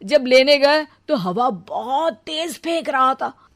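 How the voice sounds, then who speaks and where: A woman's voice: high voice, lively intonation, takes it slowly, fairly bright tone, no room sound, clean studio sound, full character voice. A woman voicing a boastful young male groom in a comic story, blustering and stammering out excuses. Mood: defensive, evasive. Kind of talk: animated storybook comedy acting.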